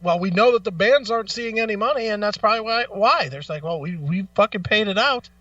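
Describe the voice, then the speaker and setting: Man, high pitch, blunt, emphatic, sweary, casual radio talk, dry lo-fi sound, a bit distant from mic. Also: fast talker, sharp tone, dry recording. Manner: blunt, profane, exasperated, emphatic. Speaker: talk show host.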